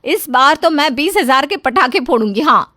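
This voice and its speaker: A woman's voice: animated, normal speed, bright, forceful tone, dry recording, studio quality. A woman voicing a snobbish, flashy young daughter-in-law with a haughty, nagging manner in a storybook tale. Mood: boastful. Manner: haughty, nagging, high-swooping. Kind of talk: storybook character acting.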